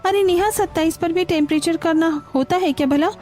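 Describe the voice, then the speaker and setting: A woman's voice: extremely high pitch, a little fast, a little mellow, pitched above the performer's own voice. A woman voicing a lazy, entitled young female houseguest in a cartoon story, whining and ordering others around. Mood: entitled, dismissive.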